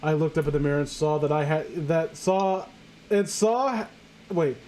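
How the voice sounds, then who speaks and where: A man's voice: a little high, normal speed, warm tone, slight background noise. A man reading a story aloud as host among friends, casual and incredulous, often tripping over the text and breaking off to mock it. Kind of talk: casual mocking reading of amateur fan fiction with friends.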